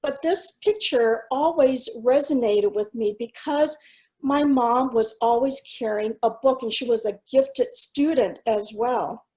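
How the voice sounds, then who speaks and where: A middle-aged woman, first language Cantonese, gives a keynote as a school superintendent, moving through slides at a measured pace. Her voice sits a little high, and she talks earnestly with small pauses and fillers. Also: a little mellow, low-quality recording. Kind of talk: presentation with slides.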